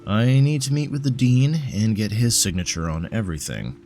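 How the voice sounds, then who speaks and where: Man playing a measured, pedantic bookish scholar. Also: lowish voice, animated, darkish tone, faint music behind, voice pushed up. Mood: worried, weary. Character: bookish, earnest male occult scholar, bespectacled, exasperated. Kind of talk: audio drama storytelling.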